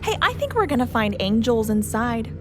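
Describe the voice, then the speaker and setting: An actress playing an irreverent, jaded war veteran astronaut in a radio play, joking in a playful deadpan. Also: female voice, on the high side, moderate pace, music in the background.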